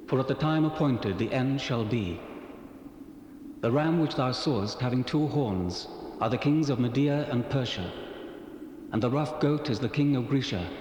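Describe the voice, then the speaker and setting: An actor playing the angel Gabriel, a commanding heavenly messenger, proclaiming in a stately manner with heavy reverb on a 1970s scripture drama. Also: male voice, mid-range voice, darkish tone. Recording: hissy cassette tape.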